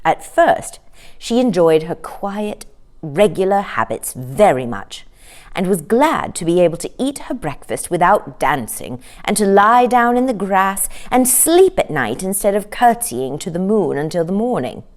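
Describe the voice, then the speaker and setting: A woman storyteller narrating a children's story in an expressive read-aloud style. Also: medium pitch, unhurried.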